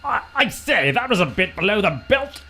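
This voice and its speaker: A man's voice: high voice, sing-song, normal speed, sharp tone, faint music behind. A man in an amateur comic radio play voicing a plummy, stiff-upper-lip British flying ace with clipped upper-class bluster. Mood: indignant.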